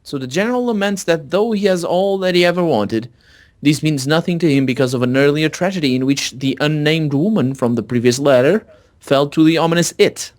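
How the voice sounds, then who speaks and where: A man's voice: fairly high pitch, brisk. A man chatting casually as a co-op gamer, sometimes reading game text aloud. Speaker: gamer, co-op let's play commentator.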